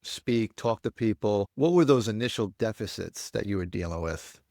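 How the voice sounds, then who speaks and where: A man's voice: fairly low pitch, lively intonation, moderate pace, gravelly, darkish tone, no room sound, studio quality. A man with an American accent hosting a podcast interview, asking empathetic, reflective questions. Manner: empathetic, reflective follow-ups. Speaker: podcast host, nurse.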